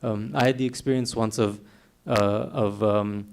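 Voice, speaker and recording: A young man from Canada whose first language is Armenian, answering thoughtfully on a panel with many pauses and ums. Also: lowish voice, steady tone, clean tone.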